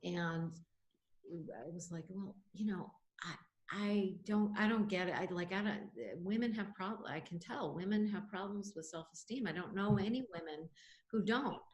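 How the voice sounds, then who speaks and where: A woman's voice: medium pitch, moderate pace. A middle-aged woman co-hosting a podcast, musing thoughtfully in casual chat and laughing often. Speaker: podcast co-host.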